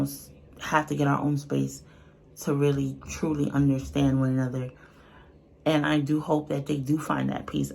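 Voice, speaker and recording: A woman's voice: very deep voice, creaky, a little mellow, slight background noise, rough recording. A woman giving casual, unscripted reaction commentary in a blunt, colloquial, earnest way. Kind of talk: casual, unscripted opinion talk.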